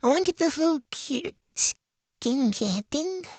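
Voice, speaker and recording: A woman's voice: high-pitched, animated, measured pace, darkish tone, dry recording. A woman giving a casual, chatty show-and-tell voice-over in a hesitant, unscripted way that tends to trail off. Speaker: vlogger.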